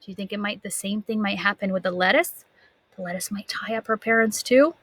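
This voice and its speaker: A woman teacher reading a picture book aloud to young children expressively, stopping to put questions to her listeners. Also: mid-range voice. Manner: expressive, dramatic gasps and emphatic drawn-out words.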